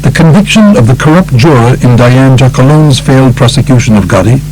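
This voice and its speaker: A man's voice: medium pitch, expressive, brisk, darkish tone, soft background music, poor audio. A man narrating a true-crime book from a script. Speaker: audiobook narrator.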